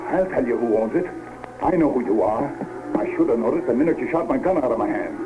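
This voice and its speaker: An actor playing a suspicious ranch gunhand in a 1950s radio western, blustering in folksy cowboy slang. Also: male voice, medium pitch, lively intonation, quick pace, natural voice. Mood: rattled, grudging. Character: suspicious, threatening cowboy gunhand guarding a range. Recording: tinny noisy old radio tape rip.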